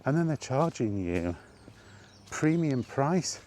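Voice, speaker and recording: A man's voice: mid-range voice, very animated, slow, a little mellow, slight background noise. A man talking off the cuff in an exasperated gaming rant, emphatic and full of rhetorical questions. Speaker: solo video game vlogger.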